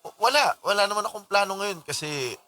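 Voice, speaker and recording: Man acting an animated, lovestruck ex-boyfriend. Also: high-pitched, brisk. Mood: earnest, pining.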